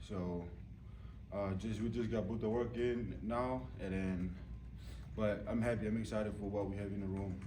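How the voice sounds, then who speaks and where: A young man from Tonga in his twenties, a college football player answering press questions in a relaxed, halting way with many fillers. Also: lowish voice, background noise. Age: 20s. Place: press room. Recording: echoey room from far off.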